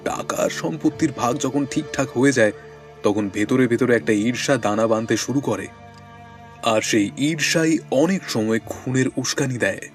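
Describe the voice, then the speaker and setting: A man playing a calm, shrewd detective in an audio drama, measured and assured in his reasoning. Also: medium pitch, soft background music, lightly acted. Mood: pensive, assured.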